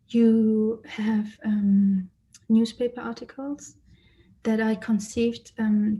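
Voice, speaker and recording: A woman who grew up in Germany, an artist giving a lecture about her exhibition and speaking thoughtfully with frequent pauses and fillers. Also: medium pitch, warm tone, lo-fi sound. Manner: hesitant, many fillers and restarts, thoughtful. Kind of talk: lecture presentation. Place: lecture or online presentation.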